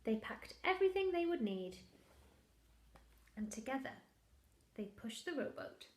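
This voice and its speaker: A woman reading a picture book aloud to young children like a primary teacher, in an expressive, deliberate way with hushed asides. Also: high-pitched, roomy sound.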